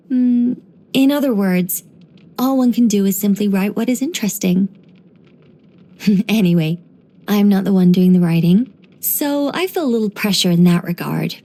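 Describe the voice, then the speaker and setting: A woman voicing a gentle, wry former angel turned human storyteller in a video game, musing and lightly teasing in an unhurried way. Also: mid-range voice, natural voice. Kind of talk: scripted video game character voice lines.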